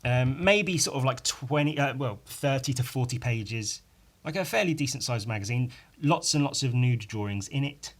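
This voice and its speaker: A man with a croaky voice rambling casually on his own as a podcast host, informal and sweary, poking fun at himself and tacking questions onto his remarks. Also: medium pitch, expressive, normal speed, few pauses. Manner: sweary, informal, self-deprecating, with tag questions. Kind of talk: casual rambling solo chat.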